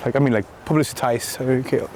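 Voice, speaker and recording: A man with a Venezuelan accent chatting on an outdoor walk, halting and searching for words, laughing often. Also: mid-range voice, steady tone, mellow, a little hiss or hum. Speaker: guest, co-worker. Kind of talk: casual walking chat.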